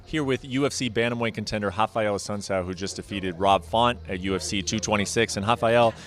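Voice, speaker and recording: A man in his thirties interviewing fighters, asking brisk, direct questions into a handheld mic. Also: fairly low pitch, fairly bright tone. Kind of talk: sports interview.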